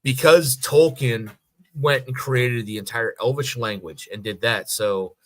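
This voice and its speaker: A man, middle-aged, in a casual podcast discussion, reasoning aloud in a halting way and rephrasing as he goes. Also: medium pitch, a bit bright, dry recording. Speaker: podcast co-host.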